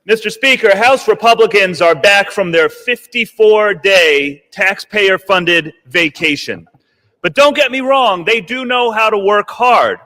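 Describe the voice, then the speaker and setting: A man's voice: high voice, a little slow, bright, forceful tone. A man, a congressman, giving a sarcastic, indignant floor speech in a legislative chamber. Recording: long reverb of a large chamber.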